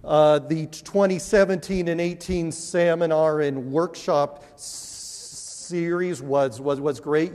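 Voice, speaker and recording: A man's voice: fairly high pitch, a little mellow. A man in his forties giving a conference welcome speech on a large auditorium stage through a lapel mic, earnest and upbeat, with frequent fillers and restarts. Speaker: university administrator giving welcoming remarks. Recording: long hall reverb.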